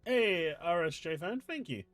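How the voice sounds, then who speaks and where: English male streamer with a high voice, incredulous and ranting at viewers, faint music playing under him. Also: lively intonation, unhurried, a bit bright, no room sound. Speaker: streamer host reading live chat. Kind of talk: casual live-stream chat. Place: home desk setup.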